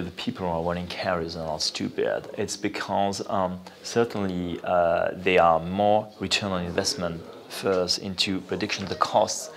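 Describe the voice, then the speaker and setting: Male interview guest, French accent, low-pitched, explains in a halting, thinking-aloud way. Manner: halting, thinking aloud with repeated "you know". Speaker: interview guest, venture capital investor. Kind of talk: spoken interview, explanatory talk.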